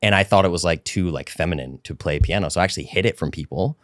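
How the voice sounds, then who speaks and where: A man from Rhode Island, a podcast guest, telling stories about his music life in animated, casual chat. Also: deep voice, dry recording, studio quality. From Rhode Island, USA. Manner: animated, fast storytelling.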